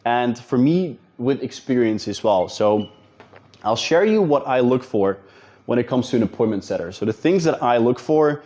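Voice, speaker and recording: A man's voice: medium pitch, animated, moderate pace, mellow, lo-fi sound. A man with a Dutch accent, a business coach talking casually and directly to viewers in an advice video. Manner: direct, informal, addresses viewers as guys.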